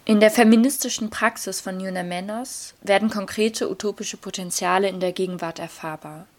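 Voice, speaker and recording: Documentary narrator: woman, middle-pitched voice, formal and explanatory scripted read. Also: fairly even intonation, fairly quick, darkish tone.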